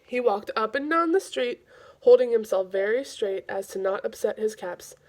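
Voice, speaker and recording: A woman reading aloud to children in an informal, expressive storytelling style. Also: fairly high pitch, moderate pace.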